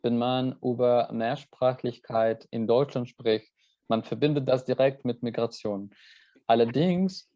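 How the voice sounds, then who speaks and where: A man's voice: medium pitch, mellow, muffled recording. Hesitant male festival host. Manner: hesitant, many fillers and restarts, switches languages. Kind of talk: casual moderated talk.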